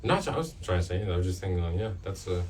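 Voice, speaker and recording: Male student radio host, deep voice, informal, halting delivery, slightly off-mic. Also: big pitch swings, a little mellow. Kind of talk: casual off-the-cuff interview chat. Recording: slightly distant mic, faint background noise.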